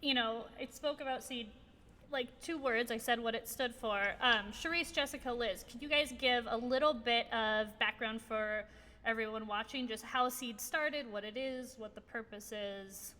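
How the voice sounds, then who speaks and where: A woman's voice: fairly high pitch, moderate pace, sharp tone, long reverb tail. A woman moderating a panel discussion, upbeat and full of praise. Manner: upbeat, effusive. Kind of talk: hosting an online panel.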